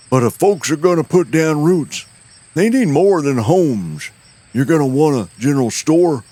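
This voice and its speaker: A man voicing an old male general store keeper who is folksy and encouraging, talking in a higher, brighter drawl. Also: no echo. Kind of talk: audio storytelling.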